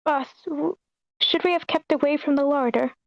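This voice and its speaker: Woman playing a high-voiced, eager henchman sidekick. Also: moderate pace, vocal fry, dry recording, highs cut off. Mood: puzzled. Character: eager, simple-minded henchman sidekick, deferring to boss. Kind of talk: amateur script table read.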